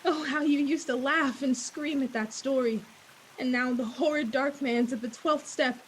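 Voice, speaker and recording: A high-voiced young woman played by an actress in an old-time radio play, nervous and alone in the dark. She talks to herself with theatrical unease, over a faint hiss. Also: steady tone, normal speed, natural voice. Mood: nervous self-soothing. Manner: anxious self-talk, theatrical. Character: frightened young woman walking home alone at night. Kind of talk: 1940s radio play acting. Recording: hissy, noisy recording.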